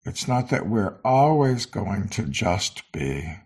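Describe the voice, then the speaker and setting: Slow, gravelly man guiding meditation. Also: lowish voice, sing-song, darkish tone. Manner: slow, sparse phrasing with long pauses. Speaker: meditation teacher. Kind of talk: guided meditation.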